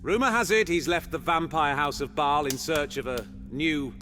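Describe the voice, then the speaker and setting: Man acting medieval monster hunter, fairly high voice, bright and forceful, theatrical old-fashioned talk, fantasy roleplay. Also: normal speed, a little hiss or hum. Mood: chatty, conspiratorial. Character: male medieval monster hunter, chatty, zealous vampire hater. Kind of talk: fantasy audio roleplay, in character.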